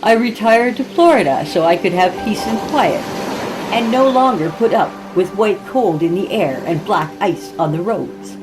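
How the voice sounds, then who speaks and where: A woman's voice: medium pitch, animated, moderate pace, music in the background, close to an everyday voice, poor audio. A woman voicing an elderly retired schoolteacher, prim, snappish and defiant, in a horror story reading. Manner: stern, snappish, indignant. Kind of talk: horror audiobook character.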